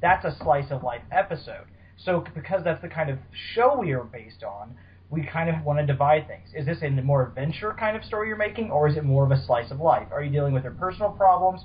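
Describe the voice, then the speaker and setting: A man's voice: a little high, expressive, a little fast, slight background noise. A man hosting a casual podcast discussion, talking at length in an informal, explanatory way. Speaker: podcast host. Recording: dull lo-fi voice recording.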